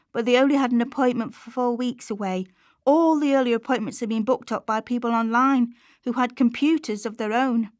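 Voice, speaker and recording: An actress plays an anxious, hard-up young mum in a confessional radio play. Her voice sits fairly high. She confides in plain, colloquial words, recorded dry and close. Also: no echo, lightly acted. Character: Chantelle, anxious struggling working-class mother on benefits, confiding.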